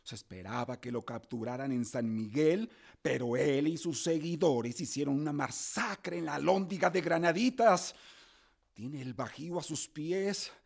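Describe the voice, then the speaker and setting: Gravelly, indignant older father. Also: fairly high pitch, a little slow. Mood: alarmed, outraged. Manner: blustering, indignant. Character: angry, alarmed older royalist father. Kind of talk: radio drama acting.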